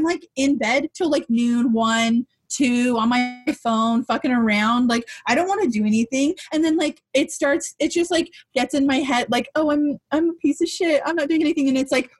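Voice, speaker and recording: A young woman podcast co-host with a high, husky voice that carries loudly. She talks at length in a frank, rambling way, swearing casually as she goes. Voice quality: husky, loud voice. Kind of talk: casual, candid chat.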